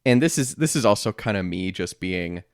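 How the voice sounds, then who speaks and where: A man's voice: lowish voice, animated, moderate pace, no echo, studio quality. A man co-hosting a podcast, giving his opinions in casual, earnest and upbeat discussion. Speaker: podcast co-host.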